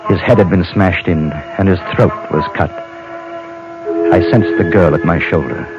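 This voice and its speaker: A man acting a steady, guarded frontier gentleman in a radio western, speaking in a measured, deliberate and terse way. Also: deep voice, music in the background. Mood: tense, hushed. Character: steady, guarded frontier gentleman narrating his Old West adventure. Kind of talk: 1950s radio western acting, first-person narration and dialogue. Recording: muffled, noisy old radio recording.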